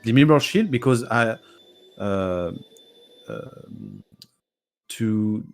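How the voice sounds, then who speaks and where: A man with a French accent, French his native tongue, chatting after a race as a guest, halting with many restarts and frequent laughs. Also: on the low side, expressive, creaky. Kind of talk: casual post-race chat.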